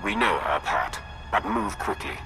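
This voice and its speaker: An actor playing a grim, dutiful field commander in a video game, speaking in a solemn, formal, resolute way. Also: male voice, low voice, animated, measured pace, pushed and bright, faint music behind, full character voice. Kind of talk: scripted video game acting.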